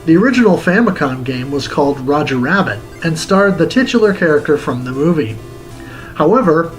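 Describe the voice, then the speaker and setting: Higher-voiced man narrating over music. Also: poor audio. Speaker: host of a retro game review show. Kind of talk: scripted review narration. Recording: music bed under the voice.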